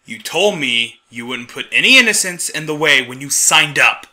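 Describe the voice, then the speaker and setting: A man playing an angry, menacing boss in an audio drama, forceful and accusing. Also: a little high, expressive, moderate pace. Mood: furious. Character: angry, menacing boss named Cypher, scolding a subordinate.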